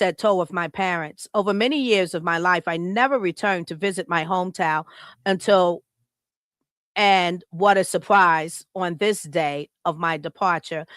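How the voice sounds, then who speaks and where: A woman's voice: fairly low pitch, fairly bright tone, dry recording, clean studio sound. An American woman hosting a podcast, giving a casual tribute that is partly read aloud. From Chicago, United States. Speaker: podcast host.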